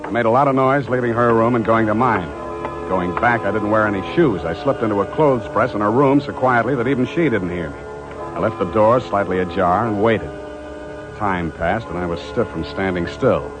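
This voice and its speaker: An actor playing a sardonic private detective narrating a 1940s radio drama, wry and deadpan in wording. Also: male voice, on the low side, normal speed, over music, close to an everyday voice, rough recording.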